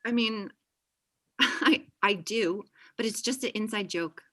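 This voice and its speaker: Woman playing young female best friend, bright and edgy, blunt, sarcastic, apologetic, video-call table read. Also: medium pitch, slow pace. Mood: brash, defensive. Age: young adult. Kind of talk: acted pilot table read, naturalistic. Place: small room at home, video call. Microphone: computer video-call mic.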